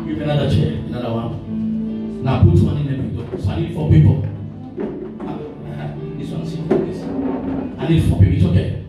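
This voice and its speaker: A pastor delivers a sermon in a rolling, repetitive cadence, stacking promise upon promise as his voice climbs. He is heard from some distance in a very reverberant hall, with music playing clearly behind him. His voice sits somewhat high for a man. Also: animated, moderate pace, long pauses. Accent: African English. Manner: preaching with rising declarations, repeated phrases. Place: church hall. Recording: echoey hall from far off with music.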